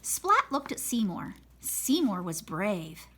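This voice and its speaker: A woman reading a children's picture book aloud in a slow, expressive storytelling manner. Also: mid-range voice, a little hiss or hum. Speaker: storybook reader. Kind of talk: children's read-aloud narration.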